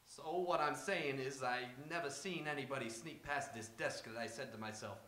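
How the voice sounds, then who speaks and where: A man's voice: medium pitch, moderate pace, sharp tone, slight background noise, close to an everyday voice. A man playing a folksy, talkative, evasive hotel clerk in a radio drama, rambling through his replies. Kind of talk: old-time radio drama acting.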